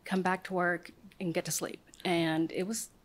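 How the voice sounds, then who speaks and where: A woman's voice: lowish voice, moderate pace. A woman in her forties, raised in Southern California, telling her story as a guest in an interview. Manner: animated storytelling, voices other people in quotes. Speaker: guest, city office director. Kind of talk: conversational interview.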